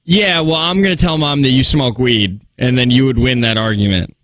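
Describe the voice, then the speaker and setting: A male radio co-host chiming in with quick, teasing interjections. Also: medium pitch, fairly bright tone, no echo. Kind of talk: casual morning radio chat. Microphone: studio mic.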